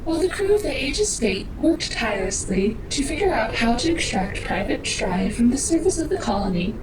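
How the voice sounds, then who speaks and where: Female storyteller, played by an actress, dramatic narration, sci-fi, echo, loud noise behind. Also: fairly high pitch, very animated, brisk. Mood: narrating. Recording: echoey, distant, loud background noise.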